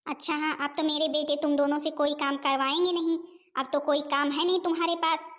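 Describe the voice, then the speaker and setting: Woman playing a high-voiced nagging mother-in-law. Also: level tone, brisk, fairly bright tone. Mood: bossy, sarcastic. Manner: scolding, taunting, repeats phrases mockingly. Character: stern, nagging middle-aged Indian mother-in-law, domineering and sarcastic. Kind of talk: family comedy cartoon dialogue.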